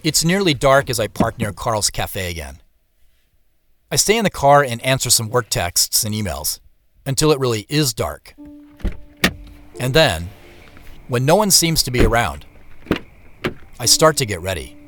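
An actor playing a reflective man who narrates his secretive search in a measured, descriptive way for a scripted audio drama. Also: medium pitch, very animated, background noise. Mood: focused, intent. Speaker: narrator and lead character.

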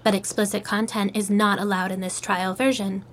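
A woman voicing a polite AI app assistant in an audio drama, with formal, measured phrasing. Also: mid-range voice, little pitch movement, a little hiss or hum, lightly acted. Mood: polite, neutral. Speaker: virtual assistant.

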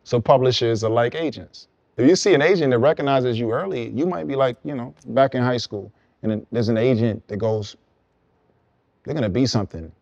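A young man from Brooklyn, a songwriter guest, explaining his path in relaxed, reflective casual conversation. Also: medium pitch, a little fast, vocal fry, dry recording, lo-fi sound. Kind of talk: casual podcast chat.

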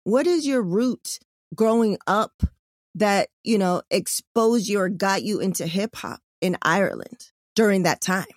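A woman hosting a casual interview, excitable and slangy, cursing freely as she asks questions. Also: mid-range voice, measured pace, no echo, studio quality. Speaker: podcast host.

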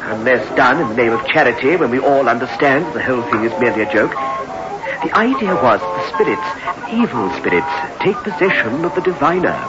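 An actor playing a genteel, reserved and scholarly village vicar, polite and bookish, in a 1960s radio drama. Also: male voice, mid-range voice, lively intonation, a little fast, lightly acted. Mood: uneasy, solemn. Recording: muffled old radio recording, loud music.

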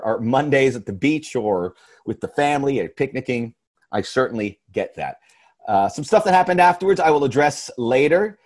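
An American man hosting a podcast in a middle-pitched voice. He talks earnestly and with emphasis, often doubling back to restart a phrase. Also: a little mellow. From United States. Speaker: podcast host. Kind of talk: casual podcast talk.

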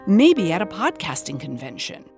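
Animated wry woman podcast investigator played by an actress. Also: lowish voice, normal speed, creaky, soft background music, exaggerated voice. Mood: earnest narration. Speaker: host. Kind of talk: scripted documentary podcast narration.

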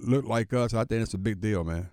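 A man's voice: fairly low pitch, a little fast, darkish tone, no room sound, studio quality. A man hosting a casual radio talk show, reacting encouragingly and asking guests questions.

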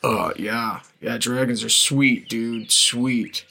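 A man's voice: mid-range voice, slow pace, gravelly, pushed and bright, full character voice. A man voicing a rambling, odd old hermit who mumbles absurd boasts.

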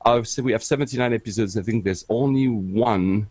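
A man's voice: fairly low pitch, a little fast, a little mellow, slightly rough, no echo. A middle-aged man from Switzerland whose first language is French, chatting casually as a podcast guest with many fillers and restarts. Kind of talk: casual chat on a live stream.

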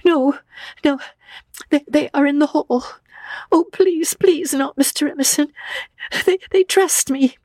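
A woman voicing a distraught, guilt-torn young woman in a story, with a quavering, halting delivery. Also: on the high side, animated, darkish tone, slightly rough, no echo, pitched above the performer's own voice. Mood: tearful pleading.

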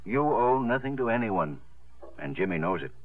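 An actor playing a gentle, devoted middle-aged father, measured and kindly, in a 1950s radio drama. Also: lowish voice, very animated, no echo. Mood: grave, advising. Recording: tinny, phone-like 1950s radio broadcast.

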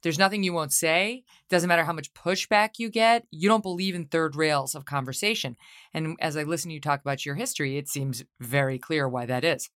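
A woman hosting a podcast interview who speaks forcefully and stresses her points by repeating them. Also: low-pitched, fairly quick, no echo, studio quality. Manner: forceful, emphatic repetition. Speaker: podcast host.

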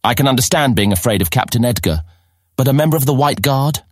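A man's voice: medium pitch, expressive, fast, a little hiss or hum, natural voice. An actor playing a mischievous boy, a sly ringleader who coaxes and goads in a fantasy audiobook drama. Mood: goading, teasing. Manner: persuasive, egging on. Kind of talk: full-cast fantasy audiobook acting.

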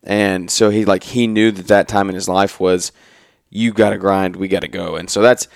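A man's voice: deep voice, steady tone, normal speed, vocal fry, dry recording, studio quality. Rambling young man in his twenties. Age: 20s. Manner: rambling, many restarts and "like"s. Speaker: podcast co-host, married to co-host. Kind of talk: casual podcast conversation. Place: trade expo event.

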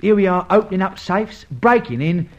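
An actor playing a cheeky working-class crook's sidekick in an old radio drama, slangy, matey and wry. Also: male voice, high-pitched, expressive, moderate pace. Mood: grumbling. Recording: dull, noisy vintage radio recording.

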